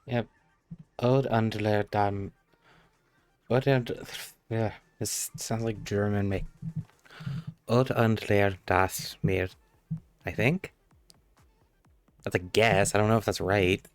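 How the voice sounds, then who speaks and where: A man's voice: lowish voice, fairly even intonation, slow pace, pauses often, dry recording, soft background music. A man giving casual live gameplay commentary as a streamer, in an offhand, muttering way. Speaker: game streamer.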